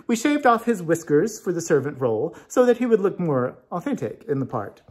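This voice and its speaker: A man's voice: fairly high pitch, big pitch swings, fairly quick, a little mellow, husky, pitched above the performer's own voice. A man voicing a gracious, regal good sorceress who gives approval in a storybook reading.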